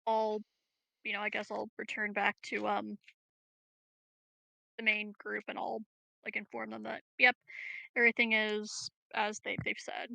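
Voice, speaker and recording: A woman's voice: medium pitch, monotone, a little slow, gravelly, pauses often, bright, forceful tone, no echo, low-quality recording. A woman playing in a tabletop roleplaying game, talking casually and upbeat. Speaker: player.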